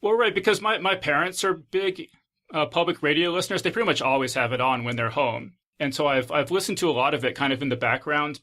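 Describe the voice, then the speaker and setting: Bright-voiced male podcast host. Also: mid-range voice, vocal fry. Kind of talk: conversational podcast interviewing.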